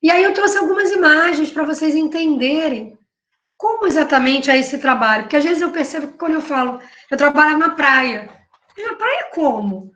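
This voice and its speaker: High-voiced Brazilian woman lecturing. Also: lively intonation, moderate pace, a bit bright. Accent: Brazilian Portuguese. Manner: conversational, frequent "né?" tags and self-corrections. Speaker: university professor and researcher. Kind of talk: academic panel talk presenting her work. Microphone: video call. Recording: echoey room.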